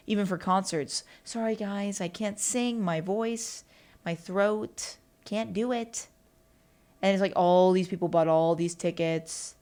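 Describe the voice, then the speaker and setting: A young woman hosting her own podcast and rambling casually on her own, with lots of fillers and asides. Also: lowish voice. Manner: rambling and chatty, with lots of fillers and self-talk. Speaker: podcast host. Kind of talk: casual solo podcast monologue.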